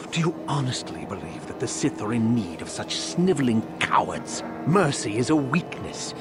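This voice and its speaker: A man's voice: medium pitch, sing-song, moderate pace, over music, close to an everyday voice. A man playing a sadistic, haughty Sith instructor in a video game, mocking and theatrical. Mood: scornful, lecturing. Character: sneering, sadistic Sith instructor, contemptuous bully. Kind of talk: video game villain acting.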